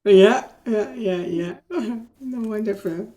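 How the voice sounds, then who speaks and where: A woman's voice: mid-range voice, dark tone. A woman co-host chiming in with short affirmations and handing the floor to the other host. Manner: affirming, quick backchannel remarks. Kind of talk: brief encouraging interjections.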